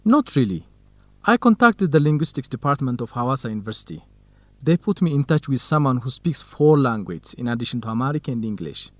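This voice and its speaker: Man playing gentle young male researcher, educational radio drama, middle-pitched, measured, close dry sound. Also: big pitch swings, no room sound, close to an everyday voice. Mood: informative. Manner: measured, simple phrasing for English learners. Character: kind, modest young Ethiopian male researcher.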